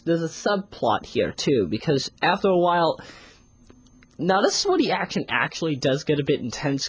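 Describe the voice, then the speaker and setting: A casual reviewer with a husky voice and very animated pitch. The delivery rambles and circles back on its own phrases. The sound is dry, with little room in it. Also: no room sound. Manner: rambling, often repeats phrases to restart. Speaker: series reviewer. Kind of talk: casual spoken audio review.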